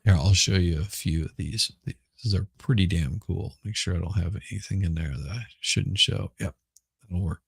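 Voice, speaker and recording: A middle-aged man chatting casually as a podcast host, hoarse and rambling, often repeating words and restarting. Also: sits low, moderate pace, dry recording, clean studio sound. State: hoarse from smoke. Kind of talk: casual livestream chat.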